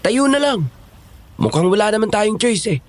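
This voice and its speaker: Man acting a high-voiced, resigned Filipino cook. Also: big pitch swings, normal speed, slight background noise.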